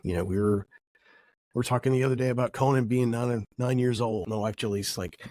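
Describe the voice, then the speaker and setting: A man's voice: medium pitch, fairly even intonation, a little fast, dark tone, no room sound. Nasal man with a cold, reflective. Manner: reflective, rambling, self-correcting. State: has a cold. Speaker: podcast host. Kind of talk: casual podcast monologue.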